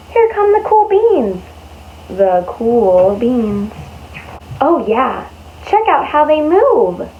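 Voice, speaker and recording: A woman reading a picture book aloud to young children in an expressive way, with exaggerated emphasis and drawn-out words. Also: high voice. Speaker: children's storyteller. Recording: dull lo-fi sound with faint noise.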